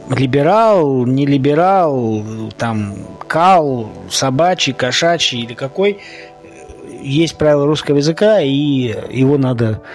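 A male podcast host speaks off the cuff at a middling pitch, arguing his opinions through rhetorical questions. His phrasing is colloquial and halting, with repeated words, and music plays behind him. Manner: argumentative, poses rhetorical questions. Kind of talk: informal opinionated solo monologue.

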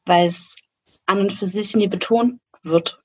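A woman from Saxony co-hosting a podcast, making her point in a measured, careful way. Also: medium pitch, slightly rough, no room sound. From Saxony, Germany. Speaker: podcast co-host. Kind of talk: conversational podcast discussion. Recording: dry, slightly dull sound.

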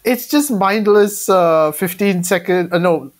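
A woman's voice: on the low side, unhurried, darkish tone. A woman in casual podcast banter who keeps asking questions and says "like" often.

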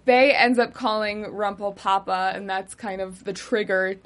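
A woman's voice: mid-range voice, fairly even intonation. Chatty young woman hosting a recap. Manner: recaps plot with frequent "um" pauses, laughs easily. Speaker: host. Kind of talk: casual TV recap chat.

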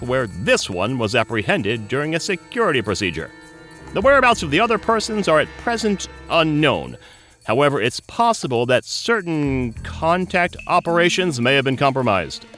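Man acting a bright, brisk military officer. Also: medium pitch, big pitch swings, faint music behind. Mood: brisk, official. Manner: official, by-the-book military reporting. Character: brisk, dutiful male Air Force captain. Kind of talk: comedic audio drama acting.

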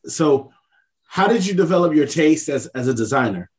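A man co-hosting a casual interview, phrasing his questions in a deliberate, halting way. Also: fairly high pitch, lively intonation. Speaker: interviewer, co-host.

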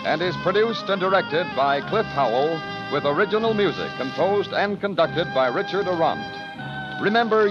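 A man with an American accent making polished, emphatic scripted announcements in 1950s radio style. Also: fairly high pitch. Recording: muffled old radio, music behind.

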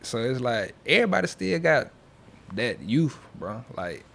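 A man's voice: medium pitch, sing-song, a little slow, gravelly, fairly bright tone, a little hiss or hum. A young man talking as a music artist being interviewed, in a loose, slangy, casual way. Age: young adult. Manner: slangy, loose, peppered with check-in phrases.

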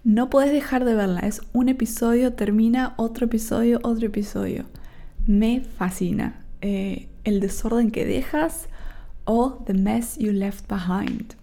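A woman's voice: fairly high pitch, warm tone. A woman from Argentina with an Argentine accent, hosting a Spanish lesson podcast for learners and checking in often with her listeners. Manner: slow and careful, checks in with "¿sí?". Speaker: Spanish teacher and podcast host.

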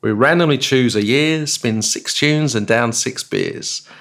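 A man's voice: mid-range voice, measured pace, a bit bright. A middle-aged man co-hosting a casual music podcast, joking and laughing, trailing off with fillers. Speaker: podcast co-host. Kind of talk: casual chat.

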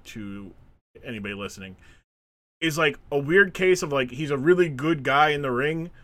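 Animated, bright-voiced podcast man. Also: medium pitch. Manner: animated, acts out quoted voices, laughs often. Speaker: podcast co-host. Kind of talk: casual chat.

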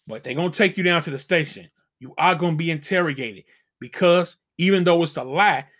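High-voiced man giving preachy warnings. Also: a bit bright. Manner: preachy, emphatic warnings with slang. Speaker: channel host giving dating advice. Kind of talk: casual advice monologue.

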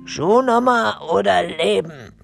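Casting: woman as male storybook robber, gruff and unhurried, coolly taunting his victims, music underneath. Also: mid-range voice, animated, exaggerated voice. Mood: threatening. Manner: taunting, commanding, drawn-out delivery. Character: gruff, brazen, unruffled male forest robber. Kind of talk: children's audiobook character reading.

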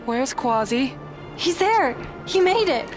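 A woman's voice: high-pitched, very animated, slow, over music, heavily acted. A woman playing an anxious, emotional young heroine in a radio drama. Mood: distressed.

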